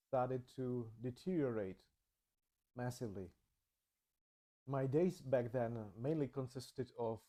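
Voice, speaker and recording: Slow, mellow-voiced man. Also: medium pitch. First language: Czech. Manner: slow, measured, thoughtful delivery. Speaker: channel host. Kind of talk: reflective personal narration.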